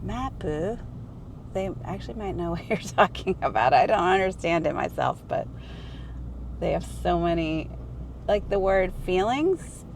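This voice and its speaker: A middle-aged woman talks into a small camera as she goes, rambling and correcting herself mid-sentence with bursts of excitement. Her voice has a gravelly fry and sits at a middle pitch. Car and street noise runs steadily underneath. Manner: rambling, self-correcting, enthusiastic. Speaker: vlogger. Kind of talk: casual chatty vlog. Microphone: small handheld camera mic. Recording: noisy car and street ambience.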